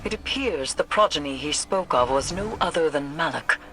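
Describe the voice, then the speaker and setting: A woman's voice: sits low, animated, normal speed, fairly bright tone. A woman playing a grave, commanding alien sorceress in a video game, speaking formally and solemnly. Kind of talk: dramatic video game acting.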